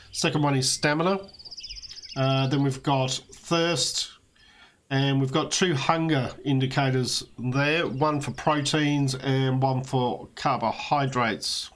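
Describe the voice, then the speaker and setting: An Australian man, slightly hoarse, giving a relaxed walkthrough of a video game he is playing, with frequent fillers. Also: medium pitch, takes it slowly. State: slightly hoarse, losing his voice. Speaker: let's play host. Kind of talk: casual gameplay walkthrough commentary.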